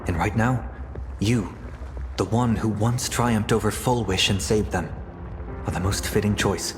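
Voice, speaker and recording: A man voices a gentle, formal gentleman in a fantasy game, a little low in pitch. He is solemn and measured. The sound has long reverb. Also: natural voice. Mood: solemn, encouraging. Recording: long reverb with music behind.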